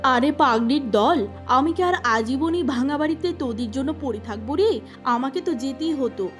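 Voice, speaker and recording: Cartoon eldest sister: young female, high voice, haughty, greedy, scornful, acted by a woman. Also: animated, moderate pace, soft background music. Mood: bossy. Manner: scornful, bossy.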